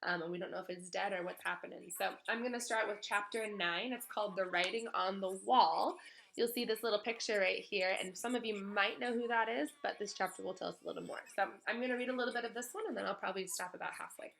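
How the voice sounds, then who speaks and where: A woman with a medium-pitched voice reads a storybook aloud as a teacher would. Her delivery is expressive, with casual explanatory asides. Music can be heard in the recording. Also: fast, creaky. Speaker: teacher reading aloud to pupils.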